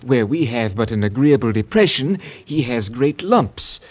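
An actor playing a measured, self-assured village doctor in an old radio drama. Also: male voice, medium pitch, animated. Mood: clinical. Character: pompous village doctor, sure of himself.

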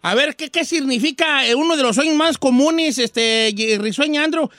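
A man's voice: very high-pitched, moderate pace, sharp tone, no echo. A man voicing a folksy older Mexican country character as a radio host, with slangy, teasing, stammering banter and a Mexican accent. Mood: chatty. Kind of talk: casual radio banter with callers.

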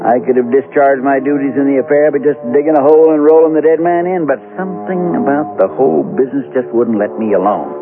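A man's voice: mid-range voice, fairly even intonation, phone-quality sound, lightly acted. Man acting a plain-spoken Western sheriff, thin radio sound. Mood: brooding narration. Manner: folksy, plain-spoken, measured. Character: steady, dogged small-town Western sheriff recounting an old case. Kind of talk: 1970s radio western acting and first-person narration. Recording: thin band-limited radio recording, music behind.

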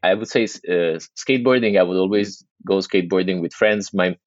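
Italian man, 30s, very low voice, hesitant podcast guest, muffled dry audio. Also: normal speed, no echo. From Italy. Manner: hesitant, many restarts and fillers. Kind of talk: casual interview conversation.

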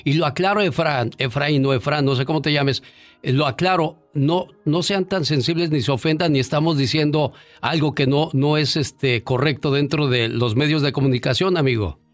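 A man hosting a radio call-in show, brisk and defensive with callers. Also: a little high, soft background music.

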